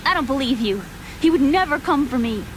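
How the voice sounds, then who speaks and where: A woman's voice: high voice, expressive, brisk. An actress playing a frightened, stubborn young woman in a video game, hesitant and plaintive in a girlish way. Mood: doubtful. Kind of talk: cinematic video game voice acting. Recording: game cutscene with clear water ambience noise.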